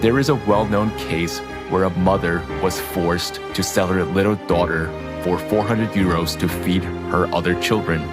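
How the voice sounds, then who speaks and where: A man with a very low voice narrates a documentary. His delivery is formal and measured, reading from a script. Music plays clearly behind him. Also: steady tone. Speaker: video narrator.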